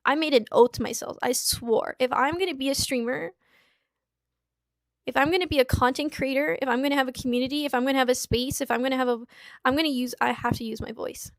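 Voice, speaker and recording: Casting: young woman in her twenties, high voice, halting and earnest, dry close recording. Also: steady tone, dry recording. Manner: halting, tearful at times. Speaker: streamer in a played clip. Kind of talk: emotional personal account. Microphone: small clip-on mic.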